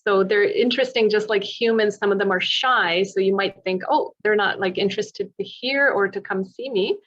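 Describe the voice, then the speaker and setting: A woman's voice: mid-range voice, moderate pace, fairly bright tone, muffled recording. Chatty woman presenting online. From born in Poland. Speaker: presenter, opera singer and vocal coach. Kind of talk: informal online presentation and audience Q&A. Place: online video meeting.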